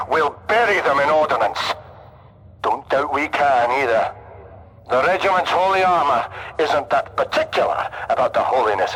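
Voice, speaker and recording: An actor playing a bitter, defiant renegade army officer in a video game, barking in a militaristic way. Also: male voice, medium pitch, a bit bright, a little hiss or hum, low-quality recording. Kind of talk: video game cutscene acting.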